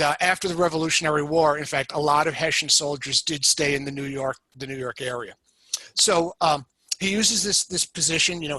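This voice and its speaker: A man from the United States talks through history as a podcast guest, laying out events in a measured, explanatory way. His voice sits a little high and rings bright and forceful. The sound is close and dry, with almost no room in it. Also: no room sound, rough recording. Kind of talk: storytelling history lecture in a podcast chat.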